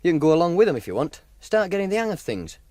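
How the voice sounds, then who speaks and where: Radio play role: cocky Yorkshire betting schemer, lightish pitch, lively intonation, needling and colloquial. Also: male voice, quick pace. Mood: matter-of-fact, instructing. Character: cocky, teasing Yorkshire racing punter running a betting scheme. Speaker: actor. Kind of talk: radio drama acting, monologue and dialogue.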